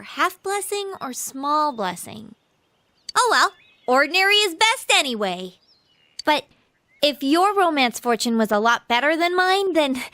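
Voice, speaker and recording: A woman's voice: sits high, bright, forceful tone, no room sound, heavily acted. An American woman voicing a bashful, earnest teenage girl in love in an anime game dub, perky and easily flustered.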